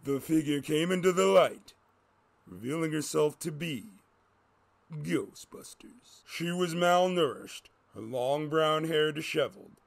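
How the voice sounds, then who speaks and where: A man's voice: fairly high pitch, lively intonation, measured pace, gravelly. A man reading a scripted story as a dramatic narrator.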